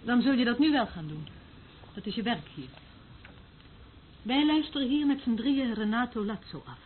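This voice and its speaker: A woman's voice: fairly high pitch, expressive, moderate pace, lightly acted. Actress as bitter female eavesdropper, mocking, intense, radio drama, thin phone-like sound, some hiss. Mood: brisk, cool. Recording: thin band-limited old radio recording, hiss.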